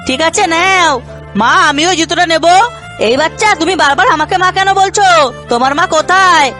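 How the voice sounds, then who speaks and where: Very high youthful cartoon voice, acted by a woman, bright, earnest, light music behind. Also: brisk. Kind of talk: children's cartoon dialogue.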